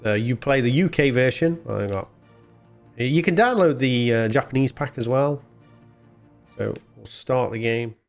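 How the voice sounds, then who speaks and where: A man with a mid-pitched voice gives off-the-cuff gameplay commentary. He is chatty and informal, rambling along as the host of a retro gaming channel. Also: measured pace, gravelly, faint music behind.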